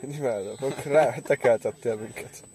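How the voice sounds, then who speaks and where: A man's voice: mid-range voice, crowd noise. A man in his twenties talking casually as a vlogger in a car, picked up by a phone a short distance away. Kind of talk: casual chat. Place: inside a parked car.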